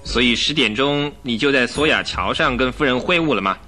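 A man's voice: medium pitch, expressive, fairly quick, bright, forceful tone, soft background music. An actor playing a cocky, insistent police inspector in a radio drama, emphatic and pressing as he questions. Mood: pressing, suspicious. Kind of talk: Chinese radio drama acting.

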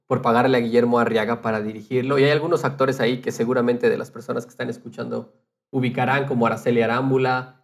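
A man co-hosts a podcast in a voice of medium pitch. He speaks informally, in a conversational way. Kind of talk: casual conversational podcast talk.

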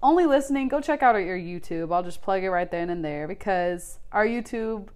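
A woman's voice: medium pitch, expressive, fairly quick, a little mellow, clean tone. Young woman, podcast co-host, casual chat, upbeat and enthusiastic. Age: 20s. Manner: upbeat, enthusiastic, plugs the show.

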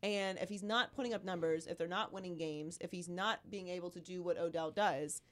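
Hoarse woman hosting sports talk. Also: fairly low pitch, brisk. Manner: animated, emphatic, riffs with rising exclamations. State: hoarse, losing her voice from illness. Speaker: podcast host.

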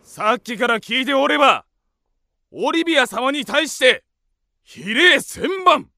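A man's voice: extremely high pitch, big pitch swings, measured pace, sharp tone, no echo, studio quality, full character voice. A man acting in a radio drama as a pompous, blustering, cowardly knight who bellows and stammers. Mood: blustering, indignant.

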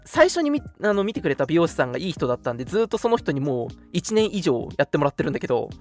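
Male, 20s, casual radio co-host with a lively, mobile pitch and faint music behind. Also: rapid-fire. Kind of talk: casual chat. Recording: music playing behind the talk.

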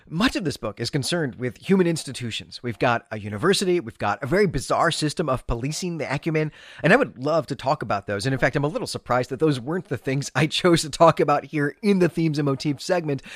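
A man's voice: medium pitch, no echo. A man with a mid-range voice presents a book review as a podcast host. His intonation swings widely as he speaks with emphatic, gushing enthusiasm in a conversational style. The sound is dry, with very little room in it.

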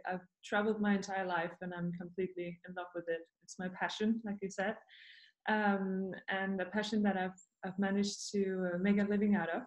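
A woman from Denmark talks as a podcast guest, thoughtful and halting, filling her pauses with soft "um"s. Her voice sits at a middle pitch and carries a roomy echo around it. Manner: thoughtful, hesitant with many fillers. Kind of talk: podcast interview.

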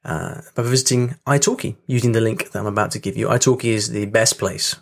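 A man's voice: fairly low pitch, fairly even intonation, fairly quick, creaky. A man hosting a podcast in casual solo chat, talking in a chatty, rambling way with restarts. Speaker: podcast host. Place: home room with rain on the windows.

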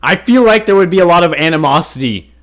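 A man in casual podcast chat, swearing often and acting out imagined scenes with sudden loud bursts. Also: on the high side, fast talker. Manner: animated, swears a lot, acts out scenes in loud put-on voices. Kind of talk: casual chat, hypothetical storytelling.

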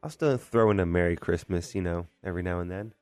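A man co-hosting a morning radio show, chatting casually in a relaxed, joking way. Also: deep voice, quick pace, faint music behind. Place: radio studio. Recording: dry studio mic.

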